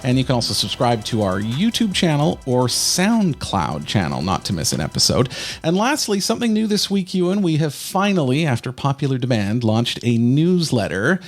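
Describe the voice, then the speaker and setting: A man's voice: mid-range voice, very animated, moderate pace, faint music behind. A man co-hosting a podcast in a casual, conversational style. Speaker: podcast co-host.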